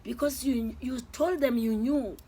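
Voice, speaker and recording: An older woman, telling the story of her family in a casual interview, in a measured, earnest way with pauses. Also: on the high side, dark tone. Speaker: adoptive mother, interviewee. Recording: faint background noise.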